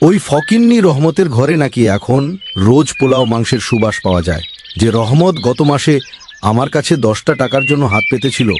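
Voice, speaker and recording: A man's voice: medium pitch, noisy background, close to an everyday voice. Guy doing a greedy village bigshot, scolds and plots, cartoon style, voice swoops around, bit of background chirping. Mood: suspicious. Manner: bossy, scolding, conspiratorial. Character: greedy, scheming, bossy male village council member. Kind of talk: cartoon voice acting.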